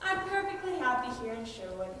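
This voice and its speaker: Far from the mic in a reverberant hall, a cocky outlaw queen played by a teenage girl holds forth in a high voice. She is brash, mocking and theatrical, a stage-play leader who loves to tease. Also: sing-song, a little fast, bright, forceful tone, exaggerated voice. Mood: scornful, cocky. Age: teens. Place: large indoor school performance space. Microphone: distant camera or room microphone. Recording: echoey, noisy hall, recorded from far off.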